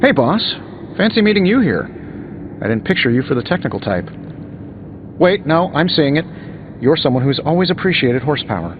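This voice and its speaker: An actor playing a cocky, glib billionaire inventor superhero in a video game, teasing and self-assured. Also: male voice, fairly high pitch, sing-song, brisk, long pauses, background noise. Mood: breezy, teasing. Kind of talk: video game dialogue acting. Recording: dull muffled game capture, music behind.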